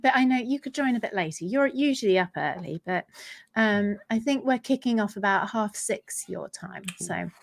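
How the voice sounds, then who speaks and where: A woman's voice: medium pitch, expressive, moderate pace, vocal fry. A woman hosting a developer livestream, chatting in a playful, bubbly way. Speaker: host. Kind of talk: casual chat on a livestream.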